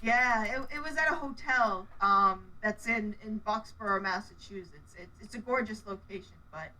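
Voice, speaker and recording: A woman's voice: mid-range voice, moderate pace, bright, forceful tone, recorded from a distance, low-quality recording. A woman raised speaking Polish and Russian, a cosplayer guest talking earnestly in a casual interview, often restarting her sentences.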